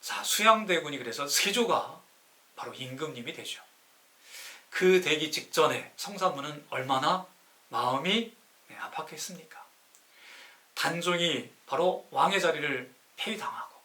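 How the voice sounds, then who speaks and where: 강의하듯 힘주어 말하는, 억양 변화가 큰 남자. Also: male voice, fairly high pitch, bright, forceful tone. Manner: didactic, asks rhetorical questions and answers them himself; emphatic, stretches key words. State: sore throat from campaigning. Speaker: live-stream host, city councilor. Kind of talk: live-streamed lecture explaining classical poetry.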